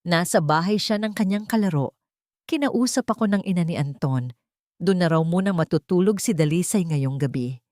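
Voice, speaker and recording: Woman playing haughty older mother-in-law, icy, dismissive, lowish pitch, dry recording, dramatic story. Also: animated, moderate pace, dark tone, dry recording, close to an everyday voice. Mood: cold. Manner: cold, dismissive.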